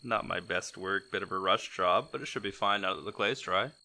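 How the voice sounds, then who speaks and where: A man's voice: deep voice, a little fast, vocal fry, pushed and bright, a little hiss or hum, heavily acted. A man acting in an audio drama as a cocky, flirty forger from a heist crew, with a theatrical, teasing delivery. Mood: playful sarcasm.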